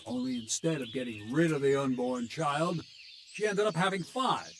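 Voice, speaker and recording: A blunt, gruff captain, voiced by a man, talking in a steady, mid-pitched voice. He tells grim old tales with a soldier's bluntness. Faint birdsong sits behind him in this game cutscene. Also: normal speed, a little hiss or hum, natural voice. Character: gruff middle-aged male army captain. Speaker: military captain. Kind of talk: video game cutscene acting.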